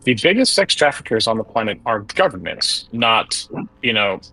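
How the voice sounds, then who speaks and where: Husky man stammering earnestly. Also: fairly low pitch, sing-song, moderate pace, bright, forceful tone, poor audio. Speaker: debate participant. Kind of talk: live debate.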